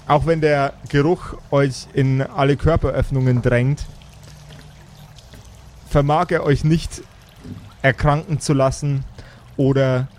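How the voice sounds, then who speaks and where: A man narrating an improvised fantasy tabletop game as game master, with deliberate, theatrical phrasing and pauses, over faint noise. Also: mid-range voice, fairly even intonation, a little mellow.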